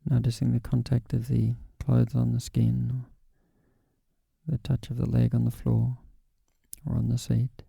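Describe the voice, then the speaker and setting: A man guiding a body scan meditation in a calm, gentle, unhurried way with long pauses. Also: mid-range voice, vocal fry, breathy edge, no echo. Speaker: meditation guide.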